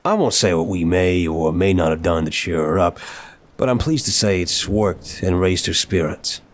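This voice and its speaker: A man playing a suave, playful young mafia boss in an intimate audio roleplay, teasing and smooth-talking, leaning on words for emphasis. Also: low-pitched, moderate pace, natural voice. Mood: smug, teasing.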